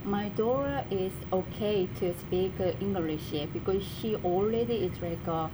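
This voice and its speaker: A woman from Korea with a Korean accent, a college student answering interview questions in halting English, searching for words with many fillers. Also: mid-range voice. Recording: noticeable background noise.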